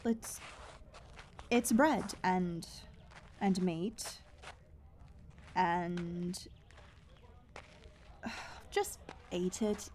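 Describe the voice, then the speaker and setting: A woman playing a brisk, wry, guarded young outcast guide in a fantasy audio drama. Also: lowish voice, a little mellow, a little hiss or hum. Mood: awkward, reflective. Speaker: voice actor. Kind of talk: fantasy audio roleplay acting.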